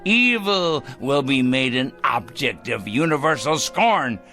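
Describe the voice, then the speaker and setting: A gleeful, deranged crank, wildly animated. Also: male voice, medium pitch, takes it slowly, soft background music, exaggerated voice. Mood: grandiose, vengeful. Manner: ranting, self-righteous, muttering to himself and cooing to pet bird. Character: gleeful deranged crank bent on judging evil people. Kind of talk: theatrical audio drama acting.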